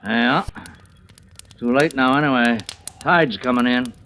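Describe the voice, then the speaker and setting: Laconic small-town police chief, plain-spoken, prying, mid-range voice, vintage radio drama, faint noise. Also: male voice, mid-range voice, unhurried, pushed and bright. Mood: casual, probing. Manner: folksy, clipped, plain-spoken. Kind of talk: 1940s-50s radio drama acting. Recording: noisy old radio recording.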